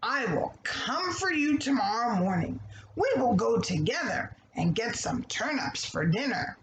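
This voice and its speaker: A woman's voice: on the high side, sing-song, echoey room, background noise. A woman voicing a hungry, sly male wolf in a children's story, with theatrical, blustering and coaxing delivery. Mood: scheming. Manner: drawn-out, theatrical huffing threats and coaxing calls.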